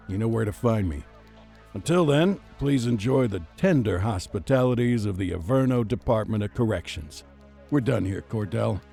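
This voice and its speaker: Casting: weary noir game detective, gravelly, dark-toned, deadpan and sardonic. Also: male voice, fairly low pitch. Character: world-weary, sardonic veteran male police detective. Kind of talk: noir video game drama acting.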